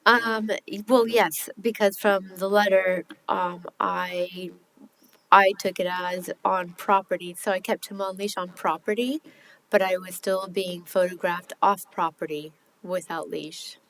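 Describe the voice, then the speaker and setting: A woman's voice: fairly low pitch, steady tone, a little slow, vocal fry, fairly bright tone, poor audio. A woman answering questions at a city hearing, hesitant and a little defensive, with many ums. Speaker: respondent at a hearing.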